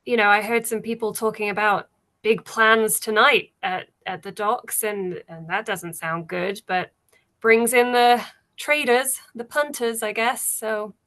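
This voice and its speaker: Bright, casual tavern server, played by a woman. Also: mid-range voice, steady tone, measured pace. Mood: wary, noncommittal. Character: busy, gossipy, noncommittal tavern server.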